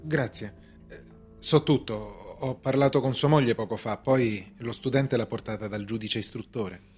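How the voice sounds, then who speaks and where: A man's voice: medium pitch, normal speed, darkish tone, breathy edge, natural voice. An actor in a radio drama playing a polite, self-assured but puzzled accused man with a hesitant, questioning manner. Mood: polite, probing. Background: music.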